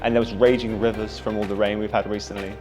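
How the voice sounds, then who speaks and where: A man in his twenties from the UK talks through an interview in an upbeat, chatty way, with a few fillers. His voice sits low. Music plays clearly behind him. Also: little pitch movement, fast talker, a little mellow. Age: 20s. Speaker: trip participant. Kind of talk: casual interview answers.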